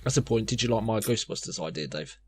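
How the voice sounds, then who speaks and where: A man's voice: lowish voice, expressive, fast, darkish tone, breathy edge. A man co-hosting a podcast, talking informally in a casual chat. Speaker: podcast co-host. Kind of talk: casual chat about games.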